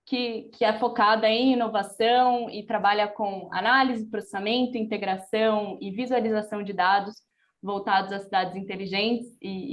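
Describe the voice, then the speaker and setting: A woman with a Brazilian Portuguese accent moderating a round table in a semi-formal way over a video call. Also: medium pitch.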